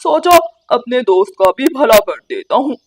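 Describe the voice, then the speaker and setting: A woman's voice: on the high side, very animated, moderate pace. Woman voicing a sly, boastful cartoon crow trickster. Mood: sly, coaxing. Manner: sly, taunting. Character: scheming, boastful, mocking male crow trickster. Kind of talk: Hindi children's cartoon dialogue.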